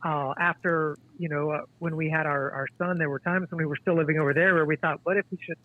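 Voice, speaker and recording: A man in his forties, a guest author, speaking in a halting, thoughtful way with many restarts. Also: a little high, steady tone, no room sound. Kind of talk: casual interview conversation. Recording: tinny dry phone line.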